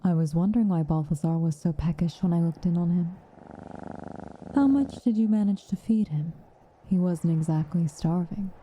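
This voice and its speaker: A woman playing a stern but caring witch in an ASMR roleplay, speaking softly and with measure, dryly commanding and often slipping into a whisper. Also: lowish voice, moderate pace, a little hiss or hum, lightly acted. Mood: curious, reassuring. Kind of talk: ASMR roleplay, intimate acted monologue.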